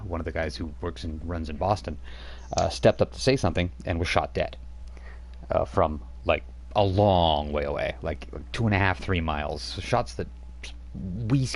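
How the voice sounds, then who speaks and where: A very deep-voiced man serves as game master, recapping the story in a loose, relaxed way. His delivery is full of fillers, and a faint hum sits under the recording. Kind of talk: recapping a tabletop game session.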